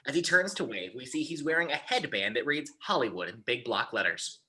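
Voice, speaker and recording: A man's voice: medium pitch, lively intonation, fairly quick, sharp tone. A man narrating the scene headings and action lines of a screenplay at an informal table read. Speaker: narrator of stage directions.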